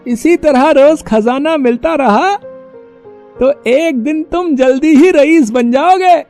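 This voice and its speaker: A sarcastic cartoon fisherman with a very high, creaky voice. He drags out his taunts slowly and sneers at whoever he talks to. Music plays behind him. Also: a little mellow, exaggerated voice. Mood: gleefully sarcastic. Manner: mocking, drawn-out sneering.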